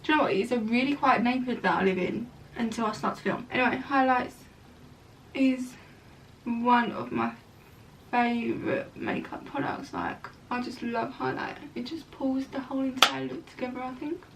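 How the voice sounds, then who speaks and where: A young woman chats her way through a makeup tutorial, wandering into casual asides as she works. Her voice sits high and sounds a touch croaky. She is recorded at home from a little way off the mic, with a faint hiss underneath. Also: animated, normal speed, recorded from a distance, low-quality recording. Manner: chatty, rambling asides. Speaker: makeup vlogger. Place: room at home.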